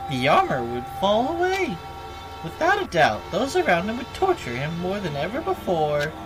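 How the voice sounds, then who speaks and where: Game villain bear, performed by a woman: gleeful, mocking storyteller, hugely animated delivery, clear music underneath. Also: on the low side, poor audio. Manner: theatrical, mocking, drawn-out announcements. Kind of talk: dubbing video game dialogue aloud.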